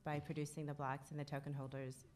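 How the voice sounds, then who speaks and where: A woman on a panel, explaining in a measured, careful way. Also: sits low, level tone, a little mellow.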